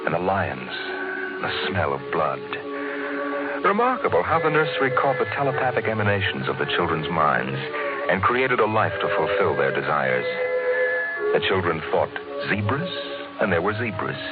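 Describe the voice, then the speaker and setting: A brooding, theatrical narrator of a vintage radio drama, full of dread. His very low voice swoops expressively. It comes through a narrow, tinny old broadcast recording. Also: very animated, moderate pace, loud music behind the voice. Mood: haunted dread.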